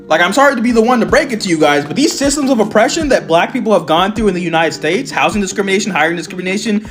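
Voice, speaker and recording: Sarcastic man, high animated voice, faint guitar bed. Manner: sarcastic, mocking. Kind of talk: reaction video.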